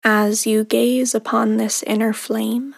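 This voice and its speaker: A woman guiding a meditation in a soothing, unhurried manner, leaving long pauses between short phrases, with soft, breathy endings. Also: a little high, little pitch movement, no echo. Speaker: meditation guide. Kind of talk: guided meditation narration.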